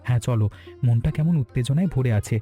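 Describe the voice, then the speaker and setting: A man's voice: medium pitch, brisk, warm tone, close to an everyday voice. A man playing an earnest, hopeful village hotel owner in a cartoon story, speaking expressively. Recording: background music throughout.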